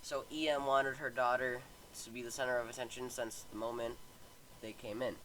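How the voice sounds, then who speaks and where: A man's voice: mid-range voice, little pitch movement, moderate pace, pushed and bright, a little hiss or hum, rough recording. A man casually reading online stories aloud on a home microphone, stumbling over words and stopping to comment. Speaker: story reader.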